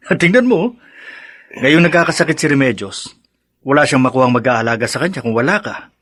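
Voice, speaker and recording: An actor playing a well-meaning, nagging aging friend in a Filipino radio drama, insistent and admonishing, with a Filipino accent. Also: male voice, mid-range voice, very animated, fairly quick, a bit bright, breathy edge, dry recording. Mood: concerned urging.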